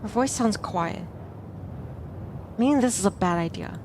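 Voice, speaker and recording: A woman's voice: medium pitch, lively intonation, measured pace, darkish tone, slight background noise, natural voice. A woman playing a self-doubting, observant young heroine, narrating a visual novel in a glum, confessional first person. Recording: music clearly behind the voice.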